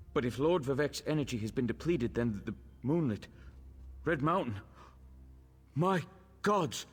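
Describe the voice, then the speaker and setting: A man playing an anxious, devout temple follower in a fantasy video game, flustered and fretful, with a husky voice. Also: mid-range voice, long reverb tail. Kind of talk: video game dramatic acting.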